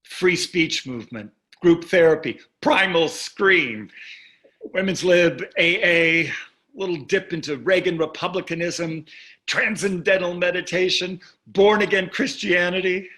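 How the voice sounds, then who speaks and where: An actor in a staged play reading, playing a wealthy older husband who is dry, teasing and condescending. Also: male voice, high voice, a little slow, a bit bright. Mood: dryly teasing. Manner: dry, needling, condescending endearments.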